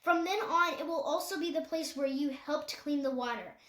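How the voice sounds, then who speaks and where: A woman's voice: high-pitched, normal speed, reverberant, pitched above the performer's own voice. A woman doing an earnest, caring girl. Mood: reassuring. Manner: gently pressing, reassuring.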